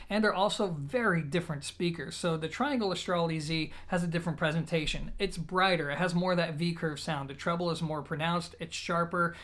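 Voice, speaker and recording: A man's voice: a little high, a bit bright. A man presenting an audio gear review, talking conversationally and directly to his viewers. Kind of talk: product review talk to camera.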